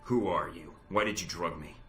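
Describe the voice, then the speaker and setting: An actor playing a groggy, defiant young secret agent in a video game, gruff and terse. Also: male voice, low-pitched, normal speed, sharp tone, roomy sound, faint music behind. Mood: groggy, demanding.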